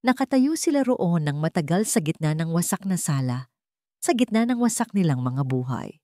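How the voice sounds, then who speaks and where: A woman's voice: lowish voice, big pitch swings, normal speed, dark tone, dry recording, studio quality. A woman with a Filipino accent reading a scripted dramatic story as narrator.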